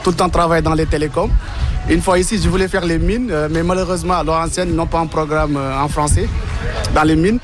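A man's voice: on the high side, steady tone, fast talker, music in the background. A man from Senegal answering a street interview, hesitant with fillers.

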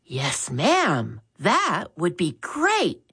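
A woman's voice: on the low side, huge pitch swings, extremely slow, fairly bright tone, slightly rough, pitched above the performer's own voice, full character voice. A woman voicing an eager, polite young boy in a storybook reading. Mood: earnest. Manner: eager, respectful. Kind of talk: storybook read-aloud.

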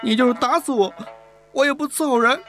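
A man's voice: very high-pitched, fairly quick, fairly bright tone, faint music behind, voice pushed up. A man doing a defiant little girl. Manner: stubborn, pleading. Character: defiant young servant girl.

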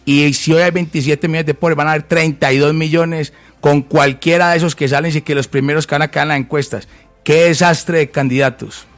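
A man's voice: a little high, fairly even intonation, a little fast, faint music behind. A man from Colombia, speaking as a presidential candidate in a heated debate, blunt and insistent. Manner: blunt, insistent, interrupts often.

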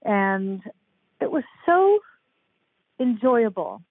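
An older woman, a guest author on a podcast, talking in a lively storytelling way and acting out what others say. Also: a little high, very slow, breathy edge, dry recording. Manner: animated storyteller, acts out quotes. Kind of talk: podcast interview, casual chat. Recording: tinny phone-like line.

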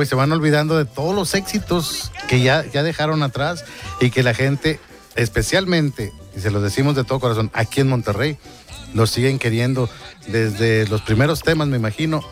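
A man hosting a morning radio show, chatting casually and laughing easily with his guests. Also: mid-range voice. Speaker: radio host.

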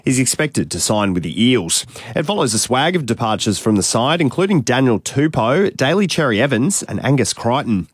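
Husky Australian newsreader. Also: male voice, mid-range voice, big pitch swings, dry recording, clean studio sound. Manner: measured, formal delivery. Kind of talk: scripted news reading.

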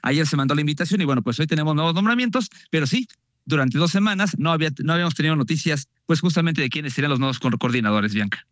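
A man's voice: fairly high pitch, lively intonation, fast, no echo. A man from Mexico giving a conversational live political report, with some hesitant restarts. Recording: dry, dull remote line.

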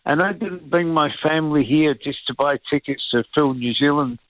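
An older Scottish man calls in, his voice sitting a little high for a man. He talks earnestly and haltingly over a dull, lo-fi phone line, and the sound is dry and close. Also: no echo. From Scotland. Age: 70s. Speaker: caller. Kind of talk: talk radio call.

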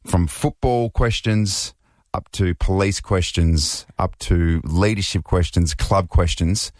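An Australian man from the Riverina co-hosting a casual sports chat, emphatic and animated, often repeating words as he gets worked up. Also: low voice, slow pace, no room sound, studio quality. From Riverina, New South Wales, Australia. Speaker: sports show co-host.

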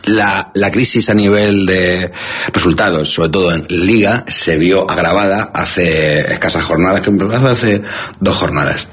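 A man's voice: low-pitched, a little slow, fairly bright tone, husky. A man with an accent from Spain co-hosting a podcast in casual, comic banter, wordy and theatrically indignant, repeating himself for effect. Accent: Spanish from Spain. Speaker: podcast co-host. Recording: noticeable background ambient noise.

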